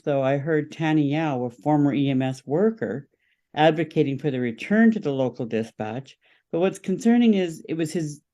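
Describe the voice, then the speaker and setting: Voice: medium pitch, mellow. A podcast guest, speaking as a political campaign representative and explaining positions in an interview.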